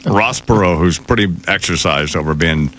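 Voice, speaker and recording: A man hosting a morning radio show with loose banter, speaking in a halting, drawling, needling manner. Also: low voice, a bit bright, a little hiss or hum. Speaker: radio talk show host.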